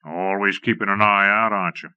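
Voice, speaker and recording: Captured outlaw boss, acted by a male reader: wry, folksy, western, dry sound, sharp tone. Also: fairly low pitch, steady tone, a little fast, clear, resonant tone, dry recording, dull audio. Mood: amused, teasing. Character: cocky captured outlaw leader, wry and smiling. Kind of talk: western audiobook character acting.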